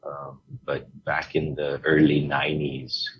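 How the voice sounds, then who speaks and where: Very deep, husky middle-aged man. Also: measured pace, highs cut off. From Hong Kong. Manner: relaxed, rambling storyteller with many fillers and restarts. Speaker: guest. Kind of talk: casual interview talk.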